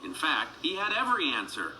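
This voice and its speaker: A man narrating a children's read-along storybook in an animated, dramatic storytelling manner. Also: on the high side, brisk, slight background noise, low-quality recording. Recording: music behind the voice.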